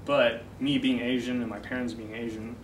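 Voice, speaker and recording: A man's voice: mid-range voice, moderate pace. Man in his twenties, dating coach, casual interview, distant mic, noisy reverberant room. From Netherlands. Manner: relaxed, filler-heavy, explaining. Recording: echoey room from far off, noisy.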